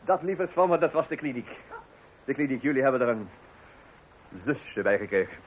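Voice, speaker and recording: An actor playing a flustered, excited father in a radio play, stammering and repeating himself as he juggles household and telephone. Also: mid-range voice, fairly quick, lightly acted. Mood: distracted, affectionate. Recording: noisy old radio play recording.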